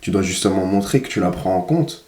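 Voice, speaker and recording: A man with a fairly low voice speaks in a very roomy, echoing space. He gives casual critical commentary like a coach, repeating phrases for emphasis. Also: expressive, a little fast, darkish tone. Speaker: dating coach reviewing footage.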